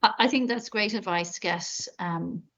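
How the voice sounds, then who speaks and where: A woman's voice: mid-range voice, normal speed, fairly bright tone, dull audio. A middle-aged woman, an author, giving encouraging writing advice on a video call, with many you-knows. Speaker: author guest. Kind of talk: friendly advice in an interview.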